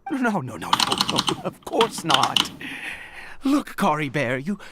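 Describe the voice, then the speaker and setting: An actor playing an unhinged, doting father and sinister zealot in a video game, halting and theatrical in his speech. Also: fairly high pitch, wildly swooping pitch, exaggerated voice. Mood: anxious, doting. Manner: halting, stammering, theatrical, given to chuckling. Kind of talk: dramatic video game voice acting. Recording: loud keyboard clicks and game noise.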